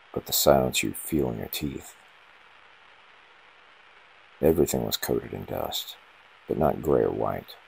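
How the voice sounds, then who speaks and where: A man reading a scripted first-person horror story in short, ominous, dramatic phrases, with a gravelly, husky voice. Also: a bass voice, a little hiss or hum, rough recording.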